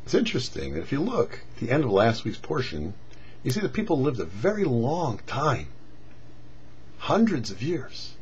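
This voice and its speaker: Guy doing a rabbi's torah talk, lively up and down pitch, kinda muffled with a bit of noise. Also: moderate pace. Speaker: rabbi presenting a weekly Torah portion show. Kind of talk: religious teaching, read commentary. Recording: dull lo-fi audio with faint noise.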